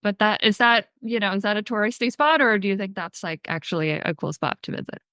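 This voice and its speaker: A woman from Sweden hosting a podcast interview, asking relaxed, chatty questions with a lot of "like". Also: medium pitch, quick pace, clean tone, no room sound, clean studio sound. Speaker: podcast host. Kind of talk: casual interview.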